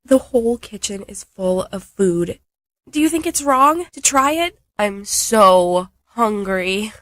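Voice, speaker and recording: A woman playing a shy, anxious and earnest young lover in a modern radio drama, hesitant yet expressive. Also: medium pitch, slow pace, no echo. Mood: excited, tempted. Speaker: radio play actor.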